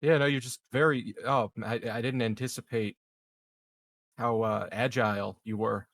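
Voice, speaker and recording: A man improvising in-character conversation as a roleplaying player, phrasing things in a measured, halting way. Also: mid-range voice, fairly even intonation, dry recording, studio quality.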